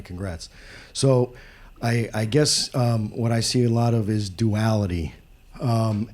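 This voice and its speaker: A man with a lowish, dark and relaxed voice speaks up from the audience at a public discussion. His delivery is earnest and measured, with pauses as he finds his words. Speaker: audience member. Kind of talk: earnest spontaneous public comment. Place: live event space with an audience.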